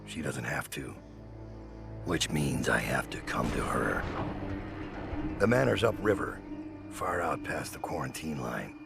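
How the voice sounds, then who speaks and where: An actor playing a grim, weathered assassin of the criminal underworld, speaking in terse, deliberate lines in a brooding game monologue. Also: male voice, extremely low pitch, expressive, full character voice. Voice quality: gravelly. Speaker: player character narrator. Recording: loud music behind the voice.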